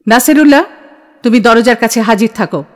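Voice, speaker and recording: A woman's voice: fairly high pitch, a little fast, natural voice. Woman acting stern commanding mother, reverberant. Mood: commanding, scornful. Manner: cutting, authoritative. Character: stern, shrewd, commanding middle-aged mother. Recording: long hall-like reverb.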